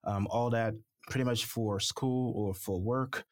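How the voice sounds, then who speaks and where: Low-voiced man from Haiti. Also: steady tone, dry recording, clean studio sound. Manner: measured, many fillers. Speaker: startup founder, guest. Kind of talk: conversational interview.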